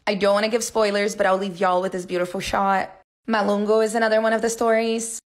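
A young woman with a Brazilian accent talks straight to her phone camera, warm and earnest, at a middling pitch. Her voice bounces around a bare, echoey room. She is casual and eager, piling on emphasis. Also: little pitch movement, fast talker. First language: Portuguese. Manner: enthusiastic, earnest. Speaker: content creator. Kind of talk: casual book recommendation to camera. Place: indoor room. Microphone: phone camera at arm's length.

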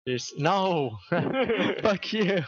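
A man talking on voice chat during a game, excitable with loud outbursts and laughter. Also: high voice, extremely slow, slightly rough, dry recording. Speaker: teammate on voice chat. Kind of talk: casual gaming chat. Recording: muffled voice chat.

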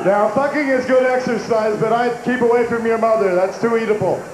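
An older man co-hosting a festival stage with a handheld mic, cracking goofy puns and leading shouted crowd chants outdoors. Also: very high voice, steady tone, a little fast, background noise. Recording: echoey outdoor PA with loud crowd.

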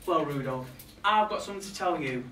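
A man's voice: on the high side, animated, fairly quick, a bit bright, distant mic. A young man playing a catty, resentful reindeer in a comic monologue, indignant and gossipy, with arch asides to a live audience. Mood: sarcastic, gossipy. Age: young adult. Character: catty, resentful male reindeer grumbling about Santa's crew. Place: small pub room with a live audience. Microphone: far camera. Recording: echoey room from afar, background noise.

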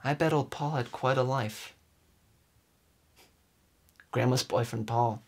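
A man in his twenties giving an earnest, overwrought dramatic reading, with emphatic phrasing and deliberate pauses. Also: medium pitch, a little mellow. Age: 20s. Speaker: comedic performer. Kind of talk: theatrical dramatic reading of song lyrics. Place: indoors, small room with curtain backdrop.

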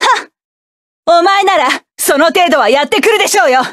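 A woman's voice: very high voice, animated, fairly quick, a bit bright, no room sound, clean studio sound. An actress playing a proud, cool-headed female mecha pilot who barks clipped, scornful battle lines. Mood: fierce. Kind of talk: video game battle voice lines.

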